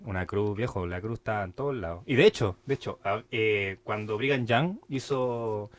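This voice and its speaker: A man's voice: fairly low pitch, normal speed. A young man with a Chilean accent co-hosting a podcast, talking casually and loosely with slang and lots of fillers. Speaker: podcast co-host.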